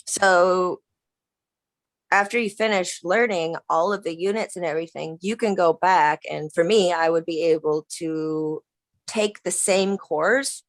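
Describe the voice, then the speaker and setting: A woman in an online drawing group, chatting eagerly and halting often as she thinks aloud. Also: low-pitched, no room sound. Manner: enthusiastic, halting, many fillers and restarts. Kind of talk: casual chat on a video call.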